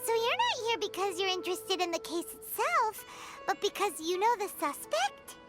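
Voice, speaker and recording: Brief: an eager little game sidekick girl; very high, lively. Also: exaggerated voice. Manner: chirpy, eager, quick to reassure. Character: tiny excitable girl companion. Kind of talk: game voice acting. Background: game music.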